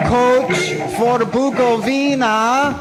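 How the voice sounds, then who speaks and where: An actor playing a coach caller in a radio drama, calling out in a drawn-out singsong. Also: male voice, extremely high pitch, steady tone, heavily acted, rough recording. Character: coach caller crying out a destination. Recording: loud music behind.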